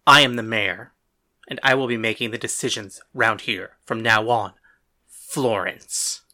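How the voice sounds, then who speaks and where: Woman putting on a low, indignant male mayor. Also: moderate pace, sharp tone. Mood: defiant, angry. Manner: indignant, blustering. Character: bound male mayor, defiant, exasperated husband. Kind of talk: audiobook character dialogue.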